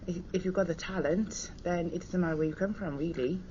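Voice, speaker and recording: Cardiff woman with a strong local accent, really low voice, says it straight, muffled radio audio. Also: fairly quick, warm tone. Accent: broad Cardiff. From Cardiff, Wales. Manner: plain-spoken and direct. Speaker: studio guest. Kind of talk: radio discussion. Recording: dull broadcast audio with faint hiss.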